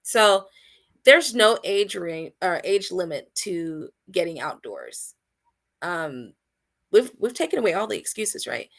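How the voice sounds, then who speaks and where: A woman guest in a casual interview, speaking with enthusiasm and swinging between loud emphatic bursts and quieter asides. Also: on the low side, animated, slow pace, creaky. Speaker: guest, outdoor community organizer.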